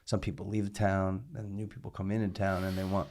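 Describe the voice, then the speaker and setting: A man's voice: deep voice, flat delivery, fairly quick, darkish tone. A man hosting a podcast, asking questions with frequent short agreements. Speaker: podcast host. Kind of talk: casual interview chat.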